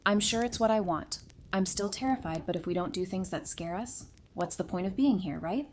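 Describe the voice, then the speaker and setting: A woman's voice: medium pitch, normal speed, a little hiss or hum, natural voice. A woman playing a tender but determined wife in an apocalyptic audio drama, earnest and plainspoken. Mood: amused, resolved. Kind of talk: full-cast audio drama acting.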